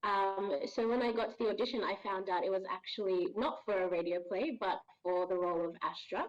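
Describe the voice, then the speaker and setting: A woman's voice: mid-range voice, a little fast. A woman from Ghana, a voice actor guest on a podcast, talking in a friendly, thoughtful way and laughing easily. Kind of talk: casual podcast interview. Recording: dull lo-fi audio.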